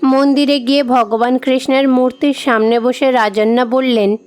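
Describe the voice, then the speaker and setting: A woman's voice: high voice, steady tone, moderate pace, a little mellow. A woman narrator reading a scripted moral tale for a cartoon. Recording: music behind the voice.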